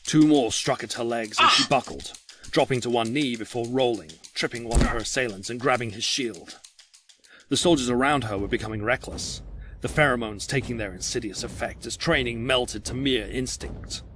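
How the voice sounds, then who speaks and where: A man's voice: mid-range voice, normal speed, a bit bright, a little hiss or hum, poor audio. A man delivering scripted dramatic narration as the narrator of an audio drama.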